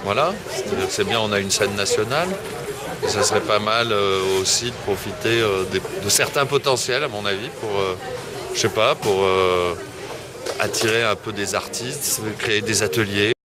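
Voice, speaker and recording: A man's voice: on the low side, moderate pace. A man answering street interview questions, often searching for words and repeating himself. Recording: loud crowd noise behind.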